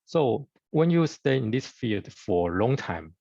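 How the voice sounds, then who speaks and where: A man from Taiwan, giving a conference talk over a video call in halting English with many fillers and restarts. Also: mid-range voice, big pitch swings, gravelly, warm tone, dry recording, lo-fi sound. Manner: halting, hesitant with many fillers and broken grammar. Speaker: guest speaker surgeon.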